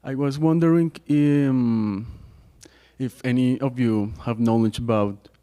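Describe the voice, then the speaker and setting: A man's voice: medium pitch, slow, warm tone, smooth. A man from Colombia, an academic asking a question from the audience, searching for words with many fillers. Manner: searching, filler-laden, careful. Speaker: audience member, academic researcher. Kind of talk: question from the floor. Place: conference room.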